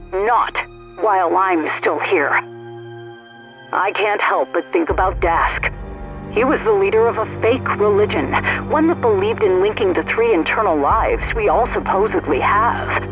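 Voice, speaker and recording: A woman's voice: medium pitch, muffled recording, over music, natural voice. A woman playing a defiant, ruthless rebel supersoldier turned leader, speaking resolutely in dramatic first-person audio drama. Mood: cold, unrepentant. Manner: resolute, deliberate.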